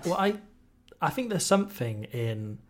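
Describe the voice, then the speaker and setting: A man from Wales in his thirties chats as a guest on a rugby podcast. He talks casually and keeps slipping in dark, deadpan jokes. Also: on the high side, unhurried, soft background music. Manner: joking, dry gallows humour.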